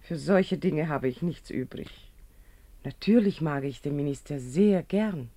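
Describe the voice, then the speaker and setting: Prim secretary in her thirties, mellow tone, correct and a bit guarded, old fifties german radio play. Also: low voice, expressive, unhurried. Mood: indignant, cool. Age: 30s. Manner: formal, guarded, curtly correct.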